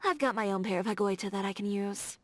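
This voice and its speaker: A woman's voice: mid-range voice, fast, no room sound, close to an everyday voice. A woman voicing a confident, blunt young fashion designer in a video game, direct and playfully teasing. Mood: teasing, competitive. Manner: direct, self-assured, teasing. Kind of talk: scripted video game character dialogue. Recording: background music.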